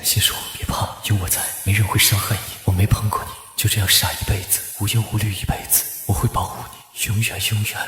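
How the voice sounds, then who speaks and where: A man acting a gentle, protective husband full of regret in an animated costume drama, hushed and sorrowful. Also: fairly low pitch, quick pace, hardly any pauses, natural voice. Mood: tender, hushed. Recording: echoey, music underneath.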